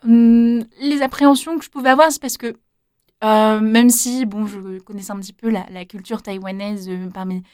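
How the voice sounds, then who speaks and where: A woman's voice: mid-range voice, steady tone, moderate pace, darkish tone, no room sound, studio quality. A young woman answering interview questions casually, hesitant, with many fillers and restarts. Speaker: interviewee, former volunteer.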